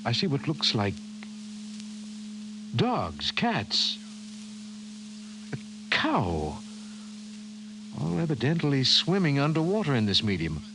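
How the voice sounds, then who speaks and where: An actor playing a grave, precise professor in 1950s radio drama style, formal and measured. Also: male voice, medium pitch, wildly swooping pitch, exaggerated voice. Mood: amazed. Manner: measured, formal, emphatic delivery. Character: grave, precise male scientist, a government professor. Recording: hissy 1950s radio recording.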